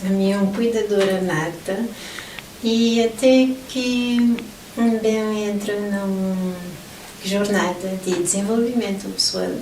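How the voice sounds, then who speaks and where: A woman's voice: medium pitch, steady tone, slow pace, darkish tone. Woman, middle-aged, from Portugal, interview guest, personal storytelling, roomy sound, distant mic. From Portugal (Lisbon). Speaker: interview guest, motivational event organizer. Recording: roomy, distant mic, faint background noise.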